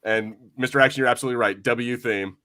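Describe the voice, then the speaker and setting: A man chatting casually as a podcast host, with an excitable, rambling and sweary way of talking. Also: medium pitch, quick pace. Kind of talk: casual chat.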